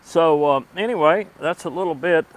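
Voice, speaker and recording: A middle-aged man giving a casual show-and-tell to camera in a halting, deliberate way. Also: fairly high pitch, poor audio. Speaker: host of a vintage gadget video series. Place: outdoors at a table in a wooded yard. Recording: outdoor ambient noise behind voice.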